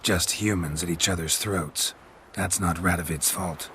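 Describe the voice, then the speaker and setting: An actor playing a gruff, stoic, world-weary monster hunter in a video game, terse and deadpan with a gravelly growl. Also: male voice, low-pitched, unhurried, slight background noise. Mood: dry, terse.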